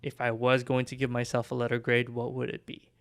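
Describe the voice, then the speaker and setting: A young man with a Filipino accent, talking on a podcast in an easy, storytelling way. His voice has a gravelly, fry-edged texture at a middling pitch. He reflects on growing up at an unhurried pace. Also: completely flat delivery. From Philippines. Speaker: podcast co-host. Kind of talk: casual chat.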